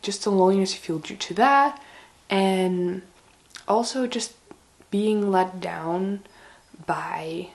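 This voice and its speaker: A woman with a hoarse, raspy voice from slight laryngitis, chatting casually and a little haltingly as she reviews books to camera. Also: on the low side, a little mellow. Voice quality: raspy, breaking into breathiness. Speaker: book vlogger.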